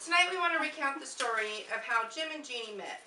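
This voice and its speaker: A woman's voice: high-pitched, lively intonation, quick pace, a crowd in the background. Woman in a distant, echoey amateur skit. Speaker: puppet show performer at a family reunion. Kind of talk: amateur scripted skit performance. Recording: distant, echoey, noisy home video of live show.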